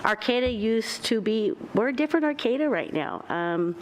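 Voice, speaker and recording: A woman's voice: a little high, animated, normal speed, a little hiss or hum. Heartfelt woman council candidate. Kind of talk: candidate forum statement.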